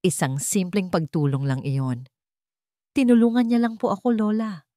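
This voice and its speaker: A woman's voice: medium pitch, fairly even intonation, normal speed, dark tone, no room sound, clean studio sound, lightly acted. Woman voicing a trembling yet defiant young granddaughter. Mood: pleading. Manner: trembling but firm. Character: sheltered young granddaughter, respectful but newly defiant.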